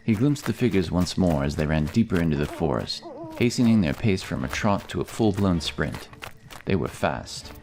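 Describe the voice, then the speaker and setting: A man's voice: deep voice, expressive, moderate pace, darkish tone. A man narrating a scripted fantasy story as a storyteller. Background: music.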